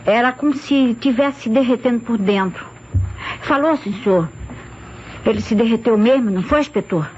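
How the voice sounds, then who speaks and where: A woman's voice: on the high side, moderate pace, natural voice. A woman with a Brazilian accent playing a simple, talkative, respectful housemaid in an old radio drama, speaking colloquially on a muffled, noisy recording. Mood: respectful, chatty. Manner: deferential, colloquial storytelling.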